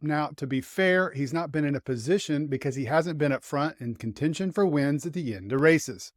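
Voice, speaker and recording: A man's voice: on the high side, no room sound, clean studio sound. A man hosting a motorsport show, delivering scripted commentary on racing news. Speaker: host and motorsport writer.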